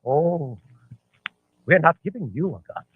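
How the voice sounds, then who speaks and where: A man's voice: on the high side, expressive, unhurried, breathy edge, no room sound. An actor playing a fanatical, grandiose male plot leader in 1940s radio drama, imperious and gloating. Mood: coldly commanding. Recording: old narrow-band radio recording, dry.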